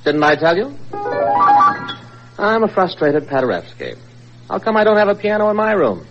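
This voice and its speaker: An actor playing a cocky newspaper reporter with a mocking, theatrical manner in an old radio thriller. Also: male voice, sits high, big pitch swings, moderate pace, heavily acted. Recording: muffled old radio recording, faint music.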